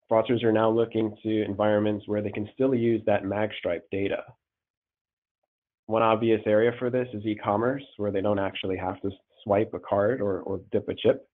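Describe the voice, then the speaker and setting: Man, congested from a cold, lowish voice, halting webinar talk, tinny phone-line sound. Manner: halting, repeats words, many ums. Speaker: payments risk manager presenting a webinar. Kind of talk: slide presentation over a call.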